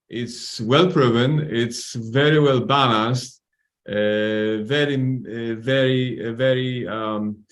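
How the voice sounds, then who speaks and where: Male panelist, Polish native speaker, mid-pitched, courteous, halting and full of fillers. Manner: hesitant, many fillers and restarts, courteous. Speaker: surgeon and webinar panelist. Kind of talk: webinar discussion.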